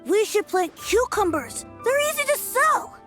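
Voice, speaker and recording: A little farm child from a video game, polite but stubborn. The voice is very high and bouncy with childlike, insistent phrasing, set over clear music. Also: female voice, sing-song, measured pace, a bit bright, heavily acted. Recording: music behind the voice.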